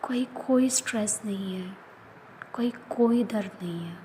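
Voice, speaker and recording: A woman leading a guided yoga nidra relaxation, speaking in soft, often whispered instructions. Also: mid-range voice, unhurried, slight background noise. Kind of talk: guided meditation.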